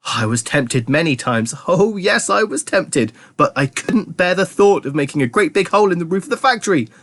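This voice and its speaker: A man's voice: medium pitch, normal speed, fairly bright tone, no echo, lightly acted. A man voicing an exuberant, eccentric chocolate factory owner in a gushing, theatrical storybook manner, bubbling with chuckles. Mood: gleeful.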